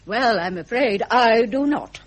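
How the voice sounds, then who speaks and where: A woman's voice: a little high, lively intonation, measured pace. An actress with a British accent playing a disapproving older queen and mother in a period drama. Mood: cool reproach.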